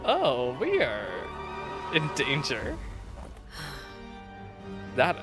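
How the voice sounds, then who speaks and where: A woman giving casual, expressive reaction commentary as she plays a video game, full of exclamations and playful slang. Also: extremely low pitch, extremely slow. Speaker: gaming streamer reacting to a game. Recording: loud game music under the voice. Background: game music and dialogue.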